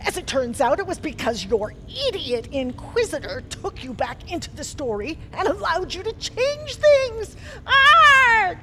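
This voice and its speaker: A woman's voice: sits high, moderate pace, hardly any pauses. A woman voicing an imperious, cruel queen who is scornful and prone to explosive outbursts. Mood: furious. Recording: steady background noise.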